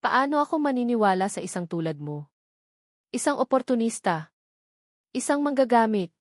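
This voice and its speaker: A woman's voice: on the high side, expressive, moderate pace, dry recording, studio quality, natural voice. A woman voicing a wealthy young man in a Tagalog romance story, cold, scornful and bitterly accusing, with an authoritative manner. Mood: furious, accusing.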